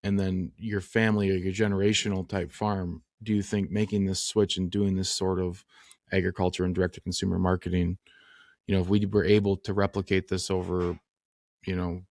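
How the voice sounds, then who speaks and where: A male podcast host with a low, flat voice that barely rises or falls. He strings together long questions with many "you know"s. The sound is dry and close to the mic. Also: brisk, gravelly, no echo. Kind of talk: interview questions.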